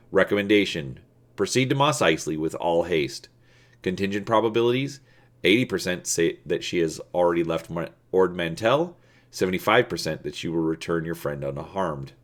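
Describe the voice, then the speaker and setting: A man voicing a big-headed alien female smuggler boss who lists odds in a clipped, computational manner. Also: deep voice.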